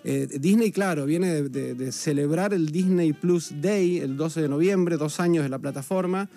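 An Argentine man with a Rioplatense accent gives a chatty animation column on a radio show. His voice is gravelly and mellow in tone, pitched a little high for a man. Faint music plays underneath. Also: moderate pace. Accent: Rioplatense Spanish. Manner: chatty, informative, with many hesitation fillers. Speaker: entertainment columnist. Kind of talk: casual radio chat.

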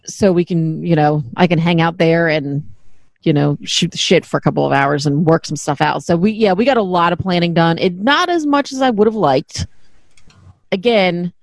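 A woman's voice: fairly low pitch, normal speed, no echo. A middle-aged woman hosting a podcast in casual chat, candid and self-deprecating, swearing freely. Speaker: podcast host.

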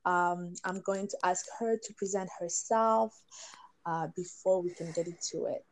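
An African woman hosts a podcast chat, upbeat and encouraging, with plenty of ums and hums while her guest talks. Her voice sits a little low for a woman. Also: unhurried, low-quality recording. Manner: upbeat, many ums and back-channel hums. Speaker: podcast host. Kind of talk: casual interview hosting.

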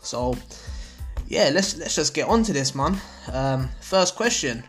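A man's voice: fairly high pitch, lively intonation, unhurried, fairly bright tone, soft background music. A young man hosting a podcast in laid-back slangy banter, laughing often. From Portugal. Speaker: podcast host. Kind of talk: casual podcast chat.

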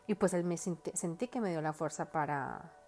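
A woman's voice: low voice, normal speed, darkish tone, soft background music. A woman hosting her own podcast in a casual, earnest and heartfelt monologue, rambling with lots of fillers. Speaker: podcast host.

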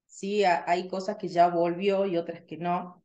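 A woman's voice: lowish voice, a little slow. Chatty Tucumán-accented woman co-host. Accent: Tucumán Argentine Spanish, slightly softened. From Tucumán, Argentina. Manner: chatty, lively, trained articulation. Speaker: radio podcast co-host. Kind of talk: casual radio chat.

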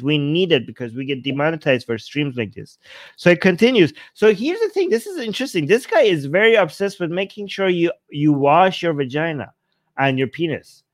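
A man hosting a livestream, reading texts and viewer messages aloud and commenting casually in an animated, mock-incredulous, digressive way. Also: on the high side, no room sound, studio quality. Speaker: livestream host.

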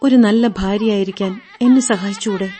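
An actress playing a hurt young wife in a radio drama, pleading to be understood, plaintive and guarded. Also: female voice, mid-range voice, fairly even intonation, brisk, dark tone, lightly acted. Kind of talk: Malayalam radio drama acting.

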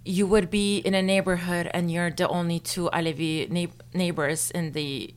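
Young woman from Turkey, Turkish first language, lowish voice, thoughtful and chatty interviewee. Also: fairly even intonation, moderate pace, clean tone. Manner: thoughtful, with frequent fillers and light laughs. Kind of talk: casual interview.

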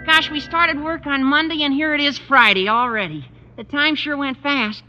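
An actor playing a squeaky, eager, naive teenage schoolboy in 1950s radio comedy. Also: normal speed, sharp tone, faint music behind. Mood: eager, gawky. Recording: muffled old radio broadcast.

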